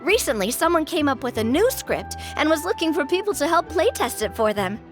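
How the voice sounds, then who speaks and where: Game role: excitable young gamer girl, high and very lively, playful, music underneath. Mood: eager, playful. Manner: excitable, playful, drawn-out emphatic delivery. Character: bubbly, eager young woman, a keen roleplay gamer. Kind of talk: video game voice acting. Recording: music bed behind the voice.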